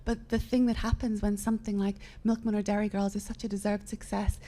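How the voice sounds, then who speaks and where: A woman's voice: mid-range voice, little pitch movement, brisk, dark tone. A woman author, speaking earnestly and reflectively in a live literary panel conversation.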